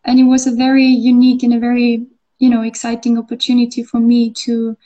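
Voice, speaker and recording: Hesitant soft-toned woman from Austria. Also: fairly high pitch, monotone, a little fast, lo-fi sound. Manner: hesitant, many fillers and restarts. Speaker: artist interviewee. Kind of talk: conversational interview.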